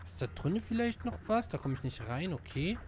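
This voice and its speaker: A man giving casual live gameplay commentary, excitable and slangy, dropping in English gamer words. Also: high voice, a little fast, a little hiss or hum. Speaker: let's player.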